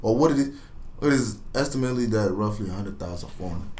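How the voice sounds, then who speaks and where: A man hosting a reaction video, talking casually in excitable, profane slang and laughing often. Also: fairly low pitch, quick pace, reverberant, recorded from a distance.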